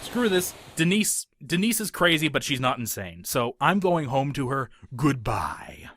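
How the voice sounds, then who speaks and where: A man's voice: mid-range voice, sing-song, unhurried. A man playing a short-tempered, exasperated documentary interviewer and narrator in a comedic cartoon parody. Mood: fed up.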